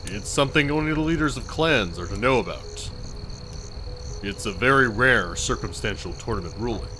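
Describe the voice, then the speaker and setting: A knowing male fighter, voiced by an actor for a visual novel. He is serious and matter-of-fact in a lowish voice, with clear noise in the background. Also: expressive, moderate pace, lightly acted. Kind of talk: scripted visual novel voice acting.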